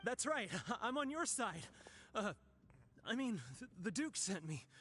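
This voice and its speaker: A nervous fake guard in a video game. His voice is high and bright, swinging widely in pitch. He is hesitant yet eager, bluffing with awkward casualness. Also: sing-song, measured pace, exaggerated voice.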